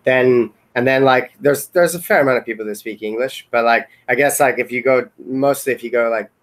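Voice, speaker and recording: A man's voice: medium pitch, a little fast. A man chatting casually in a remote meeting, talking in a hesitant, rambling, hedging way. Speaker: software team member in a retrospective meeting.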